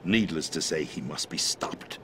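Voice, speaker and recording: An actor playing a middle-aged president in a video game, explaining a conspiracy in a measured, grave manner. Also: male voice, rumbling low, lively intonation, a bit bright, slight background noise. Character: calm, grave middle-aged man, president briefing via codec. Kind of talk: video game cutscene voice acting.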